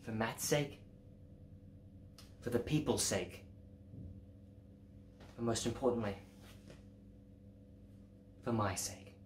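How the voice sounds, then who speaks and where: A man's voice: medium pitch, slow pace, long pauses, reverberant, close to an everyday voice. A young man acting a self-important, melodramatic guy in an amateur comedy sketch, with a pompous, theatrical delivery. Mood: grandiose. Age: young adult. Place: house porch and living room. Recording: noisy amateur camera sound.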